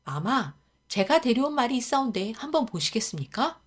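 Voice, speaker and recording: A woman's voice: fairly high pitch, sing-song, moderate pace, voice pushed up. A woman voicing a humble young stable hand who speaks politely in a storybook reading. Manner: polite, deferential.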